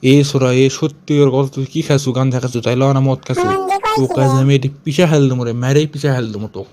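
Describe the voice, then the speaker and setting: A man's voice: mid-range voice, fairly even intonation, quick pace, warm tone, a little hiss or hum, close to an everyday voice. A man voicing an angry, blustering village father with a Bogura accent in a comic amateur cartoon dub. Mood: outraged, interrogating. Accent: Bogura, northern Bangladesh. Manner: scolding, blustering, full of threats. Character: angry, threatening village father scolding wife and son.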